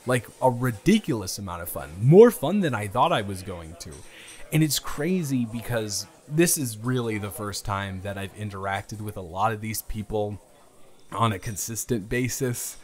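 A man's voice: lowish voice, very animated, normal speed, darkish tone. A man acting out a playful, flustered young guy on a first date in an improvised audio roleplay, teasing and stammering with mock indignation. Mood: enthusiastic, playful. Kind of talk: improvised romantic audio roleplay, casual chat.

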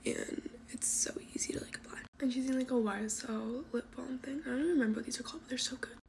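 A teenage girl chatting casually while doing her makeup, talking in a soft half-whisper and filling her sentences with "like", recorded on a phone at arm's length in her bedroom. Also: sits high, fairly even intonation, fast, creaky, a little hiss or hum. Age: teens. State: losing her voice from coughing. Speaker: vlogger. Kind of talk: casual get-ready-with-me chat. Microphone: phone camera at arm's length.

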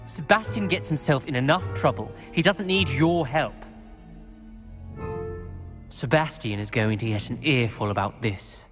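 A man's voice: mid-range voice, measured pace, lightly acted. A man playing a suspicious, haughty teenage schoolboy wizard in a video game, clipped and accusatory. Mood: threatening, indignant. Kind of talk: video game dialogue acting. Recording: game music clearly behind the voice.

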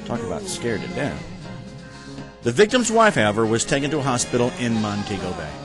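A middle-aged man hosting an amateur news show, reading stories casually with sarcastic comic asides. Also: mid-range voice. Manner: sarcastic, wry. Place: small home room at a desk. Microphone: desk microphone close in front.

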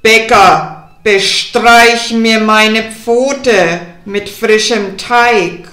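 A woman voicing a greedy storybook wolf, giving menacing, drawn-out commands. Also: fairly high pitch, steady tone, fairly bright tone, echoey room. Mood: commanding. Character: greedy, threatening big bad wolf.

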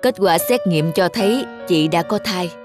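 Male cartoon physician, female performer, serious, cautious, frank delivery over clear music. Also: fairly low pitch, normal speed, voice pushed down, natural voice. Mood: grave, concerned. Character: grave, cautious male doctor.

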